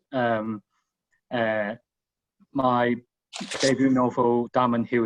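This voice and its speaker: On dull online call audio, a man introduces his writing at a panel. He speaks slowly and haltingly, in a slightly low voice. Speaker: writer on a panel.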